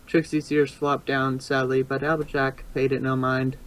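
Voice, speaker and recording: A man's voice: medium pitch, level tone, normal speed, fairly bright tone. Man reading a story, stumbling occasionally. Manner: stumbles and re-reads phrases. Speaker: fan fiction narrator. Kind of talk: amateur storybook narration.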